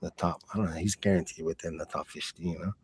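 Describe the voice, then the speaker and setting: A man's voice: deep voice, fairly even intonation, quick pace, husky, no echo. A man co-commentating on fights, casually running through fighter records and background. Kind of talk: casual sports commentary, reading out fighter stats.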